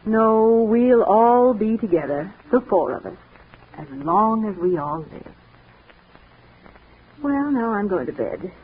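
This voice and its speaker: A woman's voice: mid-range voice, big pitch swings, takes it slowly, pauses often. An actress playing a devoted, resolute, motherly older sister in her thirties, in 1940s radio drama style on a tinny, noisy old recording. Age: 30s.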